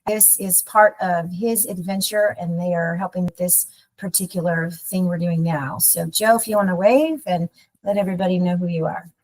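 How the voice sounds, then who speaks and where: A woman's voice: fairly low pitch, fairly even intonation, normal speed, poor audio. A woman hosting an online community meeting over a video call, introducing speakers in a loose, informal way with restarts. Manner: warm, informal, rambling with restarts. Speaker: nonprofit staff host of a community webinar.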